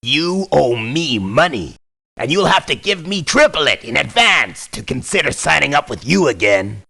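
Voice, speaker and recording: An actor voicing a tough, short-tempered ex-convict mercenary in a video game, with a blunt, streetwise, surly way of talking. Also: male voice, a little high, big pitch swings, normal speed, gravelly, pushed and bright, heavily acted. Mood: surly, defiant. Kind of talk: video game voice acting, short barked one-liners.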